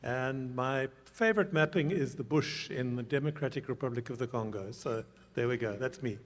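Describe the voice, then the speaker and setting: Board chair, male, from South Africa, relaxed, hall reverb. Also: mid-range voice, moderate pace, a little hiss or hum.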